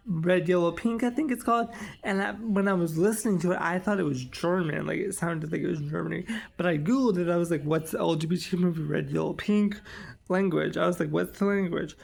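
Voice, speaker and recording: A young man in his twenties talks to the camera in a loose, rambling vlog style, with many fillers and restarts. His voice is strongly gravelly, with heavy fry, and sits a little high for a man. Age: 20s. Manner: rambling, lots of "like" and "um". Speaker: vlogger. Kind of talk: casual vlog chat.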